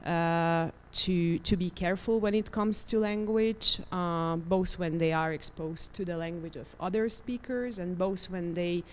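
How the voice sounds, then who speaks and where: A woman's voice: lowish voice, unhurried, few pauses. A woman from Hungary, speaking as a panelist on media literacy, hesitant with many ums and uhs. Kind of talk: panel discussion.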